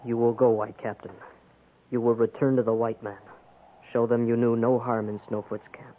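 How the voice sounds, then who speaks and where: An actor playing a proud, stern chief in a 1950s radio western, speaking in terse, formal, stilted phrases. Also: male voice, medium pitch, flat delivery, normal speed, close to an everyday voice. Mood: solemn, proud. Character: proud, solemn Native chief, stern but dignified. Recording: muffled, noisy 1950s radio recording.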